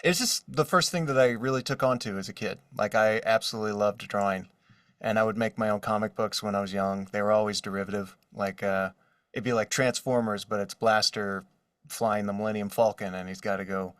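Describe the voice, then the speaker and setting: Low-voiced man in a roomy, distant recording. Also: fairly quick, a bit bright, studio quality. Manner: joking, slips into mock accents to demonstrate. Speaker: voice actor guest. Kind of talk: casual interview chat. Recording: roomy echo, distant from the mic.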